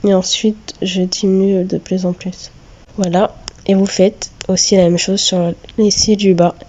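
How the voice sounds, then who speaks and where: A woman with a cold giving a step-by-step drawing tutorial, talking hesitantly with many filler pauses. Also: medium pitch, flat delivery, mellow, muffled recording. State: has a cold. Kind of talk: screen-recorded step-by-step tutorial.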